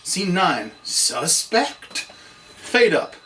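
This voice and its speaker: A man's voice: high voice, sing-song, drawn-out words, bright, forceful tone, soft background music. A man narrating scene directions from a script at a table read. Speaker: narrator reading stage directions. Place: room, read aloud at a table. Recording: distant room mic, faint noise.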